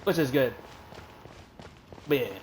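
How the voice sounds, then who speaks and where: A man's voice: medium pitch, takes it slowly, a little mellow, slight background noise. A man streaming a game and chatting casually, rambling with lots of fillers and the odd curse word, in a hot room with a fan. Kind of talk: casual commentary while playing a game.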